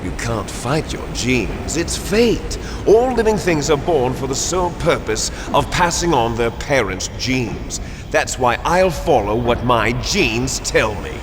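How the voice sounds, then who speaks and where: Theatrical, bitter villain in echoing hall. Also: male voice, on the high side, noisy background. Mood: fervent, menacing. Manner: theatrical, menacing monologuing. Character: embittered villainous clone soldier, grandiose and resentful of his brother. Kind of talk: dramatic video game villain acting. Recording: echoey hall reverb, music underneath.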